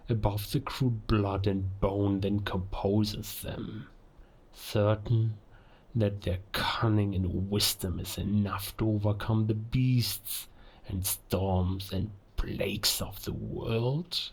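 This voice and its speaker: A man playing a menacing, ancient werewolf who scorns humankind, speaking in a gravelly, theatrical, deliberate way in a cinematic audio roleplay. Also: lowish voice, a little mellow, full character voice. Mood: proud, scornful. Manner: deliberate, theatrical, philosophical villain monologue with dramatic pauses.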